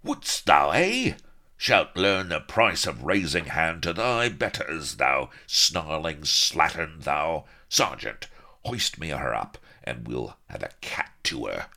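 A man voicing an arrogant, brutal redcoat officer who shouts in archaic speech. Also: very deep voice, big pitch swings, takes it slowly, vocal fry, heavily acted. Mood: raging.

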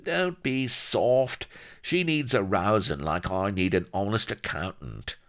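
A scheming little rogue from a comic adventure story, acted out by a male narrator. He is impatient and mocking, talks in archaic slang, and his pitch jumps up and swings about with a gravelly edge. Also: lively intonation, slow pace, fairly bright tone, heavily acted. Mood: scornful.

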